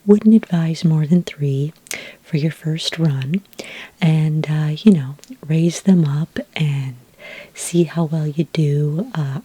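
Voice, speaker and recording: Soft, breathy low-voiced woman in ASMR roleplay. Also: dry recording. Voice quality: soft, breathy, mostly whispery. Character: patient, practical dragon ranching expert advising a beginner. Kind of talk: soft-spoken ASMR roleplay, conversational advice to the listener. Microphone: close microphone, dry sound.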